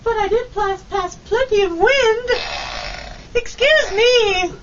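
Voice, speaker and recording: Woman acting a fussy, very high-voiced snobbish duchess. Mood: flustered. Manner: haughty, halting, prim complaints. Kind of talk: comic old-time radio acting. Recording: muffled, distant, hissy amateur recording.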